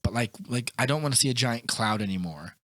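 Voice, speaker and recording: A man co-hosting a podcast, talking casually about films in an animated, opinionated, rambling way. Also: mid-range voice, quick pace, no echo, studio quality. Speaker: podcast co-host. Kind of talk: casual film discussion.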